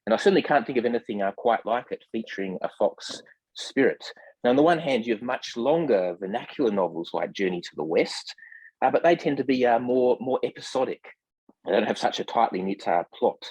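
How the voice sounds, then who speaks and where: A man speaking as a guest translator in a scholarly discussion, formal in wording, with hesitant, measured phrasing full of ums. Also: mid-range voice, expressive, darkish tone, husky, no echo, poor audio. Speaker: literary translator, podcast guest.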